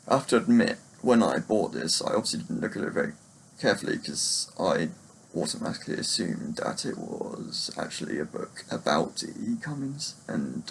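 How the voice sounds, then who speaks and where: A man giving a casual, unscripted book chat to camera in a hesitant, halting, rambling way. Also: low voice, creaky, slight background noise. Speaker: book reviewer vlogger.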